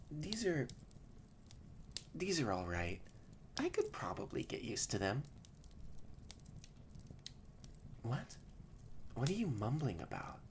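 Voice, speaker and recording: A bratty tsundere catboy played by a woman in a roleplay audio drama, pitched very low and swinging up and down in lively curves. He talks at an unhurried pace, bossy and easily flustered with a soft heart underneath, over a noisy background. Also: heavily acted. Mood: surprised, grudgingly pleased. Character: haughty, tsundere young male catboy, secretly soft-hearted. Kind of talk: scripted roleplay audio drama, addressed to the listener.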